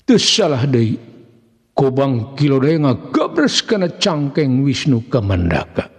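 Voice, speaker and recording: A man with a Sundanese accent narrating a folk tale in a theatrical, expressive way, with a husky voice. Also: medium pitch, takes it slowly. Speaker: storyteller. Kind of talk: dramatic scripted narration of a folk mystery tale. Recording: long hall-like reverb.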